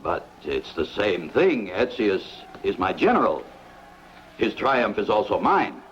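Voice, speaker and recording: A man's voice: on the low side, sing-song, a little slow, lo-fi sound, slight background noise. An actor playing a proud, pompous Roman emperor in a dubbed sword-and-sandal film, declaiming his lines. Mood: proud, defensive.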